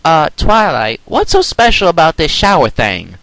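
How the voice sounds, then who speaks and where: A man's voice: on the high side, wildly swooping pitch, normal speed, fairly bright tone, no echo, pitched above the performer's own voice, exaggerated voice, low-quality recording. A man voicing a friendly young female farm pony with a raised, curious voice.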